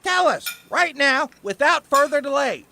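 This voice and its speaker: An exasperated youngster, very high and creaky, complains in wordy, theatrical sarcasm. It is comedy audio drama, with faint noise behind the voice. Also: male voice, expressive, a little slow, bright, forceful tone, full character voice.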